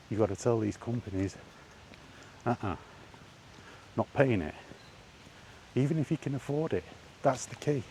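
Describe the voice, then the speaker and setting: A man talks in a low, mellow voice, lecturing bluntly and with emphasis. It is an opinion rant from a gaming vlogger, recorded while he walks outdoors, with faint noise behind him. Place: outdoors by allotments.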